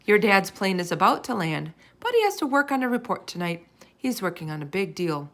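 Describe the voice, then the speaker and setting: A woman reading a weary, gentle young mother. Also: medium pitch, big pitch swings, fairly quick. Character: tired, kindly mother of a baby and young daughter.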